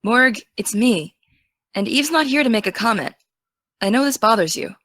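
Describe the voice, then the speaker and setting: A young American woman playing an excitable, teasing teenage aspiring journalist in naturalistic, casual audio drama dialogue. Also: a little high, normal speed, no echo. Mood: earnest, sincere.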